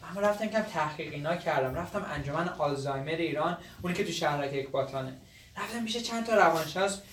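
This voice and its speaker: A young caregiver in a drama, playful and patient, with an animated, teasing manner. He is recorded at a distance in an echoey room with faint noise. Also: high voice. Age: young adult. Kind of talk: naturalistic dramatic acting.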